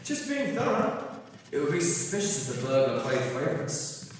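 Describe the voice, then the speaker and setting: Man playing a hapless, animated young amateur burglar. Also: a little high, moderate pace. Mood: flustered. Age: young adult. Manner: nervous, grumbling. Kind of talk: audio drama acting. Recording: long hall-like reverb, noisy.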